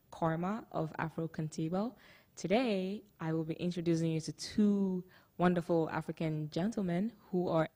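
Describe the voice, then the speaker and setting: A woman's voice: on the low side, mellow, no room sound. A woman hosting a casual community interview, upbeat and chatty, laughing easily with her guests. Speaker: host.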